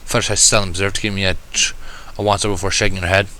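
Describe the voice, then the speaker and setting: A man's voice: deep voice, flat delivery, rapid-fire, pushed and bright, slight background noise. A man reading scripted first-person fan fiction as the narrator.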